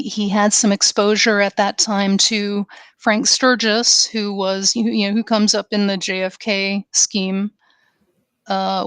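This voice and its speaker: A woman co-hosting a podcast, talking casually and earnestly with many fillers and pauses. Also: medium pitch, fairly bright tone, clean tone, no room sound. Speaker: podcast co-host.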